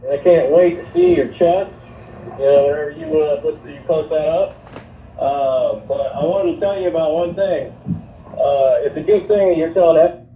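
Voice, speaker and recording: A man's voice: on the high side, normal speed, reverberant, far from the mic, slight background noise. A man chatting casually on a broadcast, heard through a tinny radio speaker.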